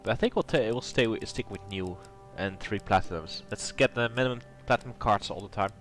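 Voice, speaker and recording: A man's voice: fairly low pitch, a little fast, fairly bright tone, soft background music. A man with a cold and a nasal voice, hosting a casual gameplay commentary and thinking aloud with plenty of hesitation. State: has a cold. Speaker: let's play host.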